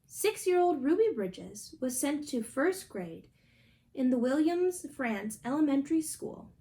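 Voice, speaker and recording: Young woman, high voice, storybook reader, reading a picture book aloud. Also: big pitch swings, unhurried, mellow. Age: young adult. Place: small indoor room at home.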